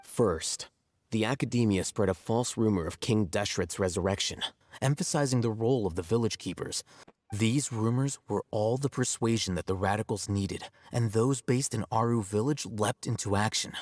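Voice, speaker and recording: A man playing a composed, analytical young scholar in a video game, speaking in a measured, deliberate way. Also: lowish voice, darkish tone, no room sound, natural voice. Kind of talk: scripted video game voice acting. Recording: game music behind the voice.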